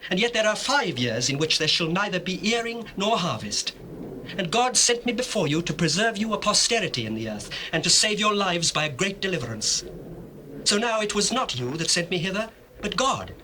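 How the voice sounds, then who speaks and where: A man's voice: high-pitched, bright, forceful tone, a little hiss or hum, full character voice. An actor playing an emotional governor of Egypt addressing his family in a dramatized scripture on old cassette tape. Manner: fervent, declamatory. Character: Joseph, emotional lordly governor of Egypt, tender brother. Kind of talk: dramatized scripture, theatrical stage acting.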